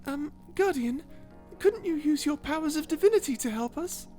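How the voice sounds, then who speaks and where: Tiny feisty mouse: high, swooping voice, excitable and proper, for audio drama. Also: female voice, moderate pace, soft background music. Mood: scolding, earnest. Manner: excitable, indignant, proper, stammering. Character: cute, feisty, earnest little mouse companion. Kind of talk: character audio roleplay.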